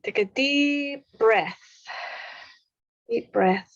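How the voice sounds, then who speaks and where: Slow-paced woman guiding a meditation. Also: on the high side, no echo, highs cut off. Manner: soothing, unhurried, pausing between short phrases. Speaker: meditation guide. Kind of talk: guided meditation.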